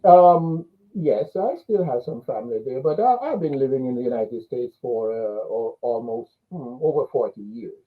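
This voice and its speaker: A man's voice: mid-range voice, animated, normal speed, hardly any pauses, phone-quality sound. An older man from Jamaica with a Jamaican accent, telling his own story as a guest in an interview. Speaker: interview guest, business coach.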